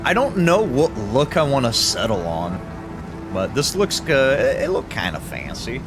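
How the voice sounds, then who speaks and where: A man's voice: mid-range voice, big pitch swings, moderate pace. A man from Italy streaming a video game, chatting casually and joking with his viewers. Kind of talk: casual gameplay commentary. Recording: game music underneath. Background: music.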